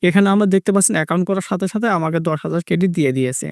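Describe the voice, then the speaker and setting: A man with a high voice walks viewers through a tutorial step by step. His delivery is fast and repetitive in its instructions, and the recording is dry and close. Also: darkish tone, no room sound. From Bangladesh. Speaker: tech tutorial host. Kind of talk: step-by-step screen tutorial narration.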